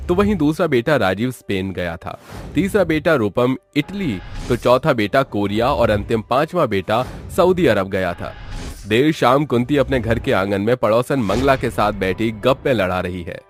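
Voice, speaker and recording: A man giving scripted storybook narration as the narrator of a tale. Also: mid-range voice.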